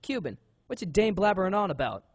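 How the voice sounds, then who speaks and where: A man playing a hot-headed young police detective in a crime audio drama, brash and husky. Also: high-pitched, sing-song, fast, no room sound, heavily acted. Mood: scornful. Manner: brash, slangy, combative.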